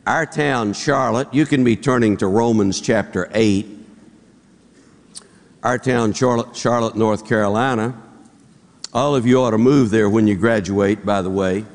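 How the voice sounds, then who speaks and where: A man's voice: on the low side, measured pace. A man with a Southern US accent preaching informally, folksy and joking, repeating phrases as he tells anecdotes. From southern United States. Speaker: pastor, guest conference preacher. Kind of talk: informal, anecdotal sermon to an audience. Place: large auditorium before a congregation. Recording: reverberant large hall.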